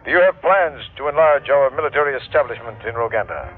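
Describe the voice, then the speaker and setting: A man's voice: mid-range voice, animated, fairly quick, soft background music, lightly acted. A man playing a persistent, polite news reporter in a formal interview within a classic radio drama. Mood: probing. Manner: formal, probing. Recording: dull old radio recording.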